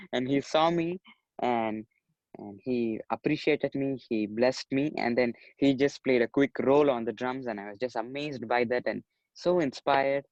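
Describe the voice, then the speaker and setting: A polite, earnest young man from Chennai, still in his teens, talking through a muffled, dry recording with no room echo. He keeps dropping in "you know" as he recounts his story. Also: mid-range voice. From Chennai, India. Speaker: young actor and musician, interview guest. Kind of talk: casual interview.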